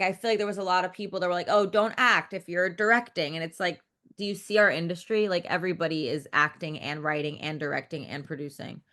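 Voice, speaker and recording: A woman's voice: fairly low pitch, fairly bright tone. A woman in her thirties, a director guest in a casual interview, talking in a rambling, loose way, full of "like" and restarts, and swearing frankly.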